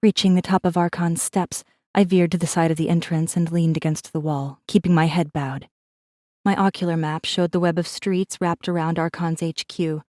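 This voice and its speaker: A woman plays a guarded rebel messenger telling her own space fantasy story. Her voice sits a little low, with a wry, controlled and intimate delivery. The narration is scripted and dramatic, recorded very dry. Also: warm tone, dry recording, natural voice. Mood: wry, irritated. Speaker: audiobook narrator in first person.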